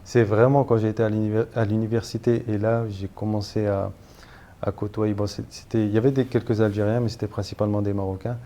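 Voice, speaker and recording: A man's voice: on the low side, little pitch movement, quick pace, mellow. A man in his thirties from northern France, a guest telling his story in an interview, hesitant with many fillers and restarts.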